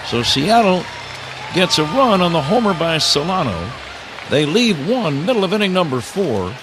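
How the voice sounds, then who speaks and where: A man's voice: fairly high pitch, big pitch swings, moderate pace. A man doing live baseball play-by-play on the radio from a ballpark booth. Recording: crowd and stadium noise behind. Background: ballpark crowd and ambient noise.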